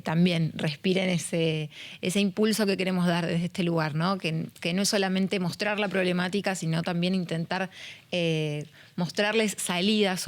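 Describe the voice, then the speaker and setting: A woman hosting a podcast conversation, earnest and full of fillers and restarts. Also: on the low side. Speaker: podcast host. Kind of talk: conversational interview.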